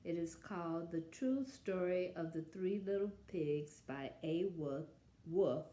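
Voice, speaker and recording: A woman introducing and reading a children's picture book aloud, with deliberate pauses. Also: lowish voice, mellow. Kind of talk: read-aloud children's story. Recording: echoey room.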